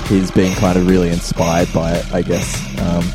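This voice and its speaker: Very deep-voiced male podcast host. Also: completely flat delivery. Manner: halting, filler-heavy, laughs easily. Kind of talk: casual radio chat. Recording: music playing loudly behind.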